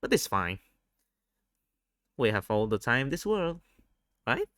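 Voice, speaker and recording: Slow-talking man with a Vietnamese accent. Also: medium pitch, sing-song, fairly bright tone, dry recording, studio quality. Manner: halting, repeats and rephrases phrases. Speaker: fan translator recording an audio log. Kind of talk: spontaneous, unscripted audio log.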